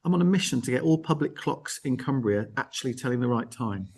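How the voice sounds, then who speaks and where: A man's voice: mid-range voice, lively intonation, quick pace, creaky, darkish tone, slightly rough. A man hosting a casual live arts call, talking in a chatty, discursive way. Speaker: host of an arts network online call. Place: on location at an art gallery, live video call.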